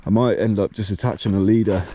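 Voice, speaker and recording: A man with a blocked nose and a slightly nasal voice, casually talking through his fishing outdoors by a dam in a relaxed, explanatory way. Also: fairly low pitch, fast. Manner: relaxed, explanatory, thinking aloud. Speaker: fishing vlogger. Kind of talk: casual outdoor vlog narration.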